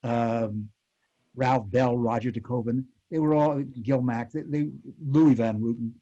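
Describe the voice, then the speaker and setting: A man's voice: mid-range voice, fairly even intonation, a little slow, mellow. An older man, a panel guest, reminiscing casually in a rambling way, recorded with dull lo-fi sound. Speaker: panel guest, former radio actor.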